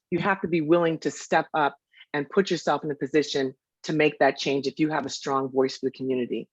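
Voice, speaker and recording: A woman candidate gives a deliberate, measured campaign statement. The sound is dry, with no room echo, and dull and lo-fi. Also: low voice. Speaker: Liberal candidate, criminal lawyer.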